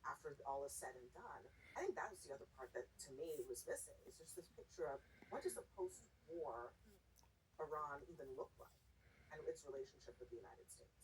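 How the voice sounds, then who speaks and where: Woman news anchor, low voice, distant mic, faint noise behind. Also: animated. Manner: measured, questioning. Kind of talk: news presenting and panel moderation.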